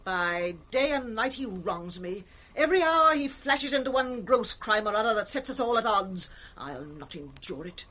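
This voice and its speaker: An actress with a British accent playing an imperious, resentful royal daughter in a clipped, commanding 1960s radio Shakespeare style. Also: female voice, a little high, expressive, normal speed, few pauses, a bit bright, poor audio. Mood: indignant, cold. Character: imperious, resentful noblewoman, king's hard-hearted daughter.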